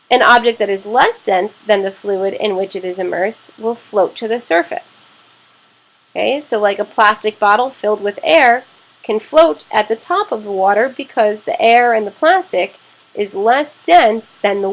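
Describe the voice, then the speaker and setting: A woman's voice: medium pitch, rough recording. A woman science teacher narrating a lesson step by step, explaining plainly and often checking in with "okay".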